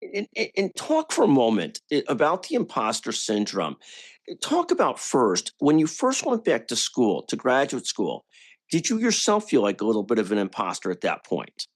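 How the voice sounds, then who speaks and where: A man hosting a business podcast, speaking with plenty of enthusiasm. His pitch climbs and drops widely as he talks, and he often restarts his sentences with doubled words. Overall his voice sits at a middle pitch. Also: wildly swooping pitch, moderate pace. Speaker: podcast host. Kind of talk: podcast interview.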